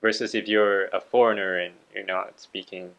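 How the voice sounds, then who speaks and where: A man hosting an interview, asking questions and sharing views in a measured, reflective way. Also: sits low, steady tone, gravelly, a bit bright, highs cut off. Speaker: interviewer, channel host. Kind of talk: casual interview conversation.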